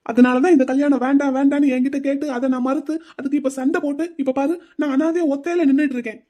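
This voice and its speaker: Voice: sits high, fairly even intonation, fast, dark tone, lightly acted. A performer voicing a hurt, resentful young groom who talks in an accusing, heated way in an audio drama.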